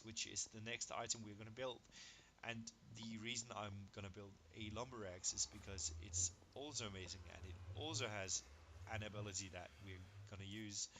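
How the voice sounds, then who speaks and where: A man whose first language is Danish, giving casual gameplay commentary. He is excitable, marvels out loud at what he builds, and counts under his breath. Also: lowish voice, slight background noise. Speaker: gaming video creator.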